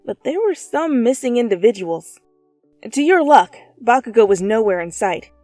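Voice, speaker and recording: Expressive woman reading a story, close and dry. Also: mid-range voice, no echo. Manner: theatrical, expressive. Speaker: fan fiction reader. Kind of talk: dramatic storytelling narration in second person.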